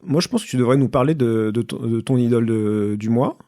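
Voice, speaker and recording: A man's voice: mid-range voice, fairly quick, dark tone. A man co-hosting a casual film podcast, speaking tentatively with trailing phrases. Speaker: podcast co-host.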